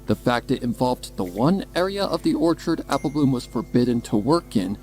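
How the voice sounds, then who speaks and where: A man's voice: mid-range voice, animated, normal speed, a little mellow. A man reading a scripted story as a dramatic narrator. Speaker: narrator of a fan fiction reading. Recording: music behind the voice.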